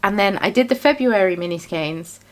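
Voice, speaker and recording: A woman's voice: mid-range voice, expressive, moderate pace. A young woman talking through her craft work in a rambling, self-deprecating, chatty way. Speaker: yarn dyer and small business owner. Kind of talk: casual chatty vlog voice-over. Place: home craft studio.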